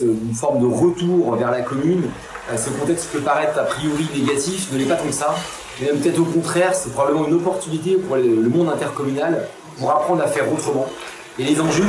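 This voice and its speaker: Man in a roomy, echoing space. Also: a little high. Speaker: interviewed association president. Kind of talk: formal expert interview answers. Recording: roomy echo, faint background noise.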